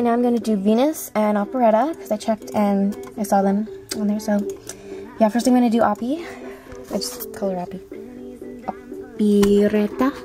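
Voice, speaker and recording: A woman chatting casually and rambling to viewers as she introduces her amateur voice auditions. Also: medium pitch. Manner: rambling, self-commenting.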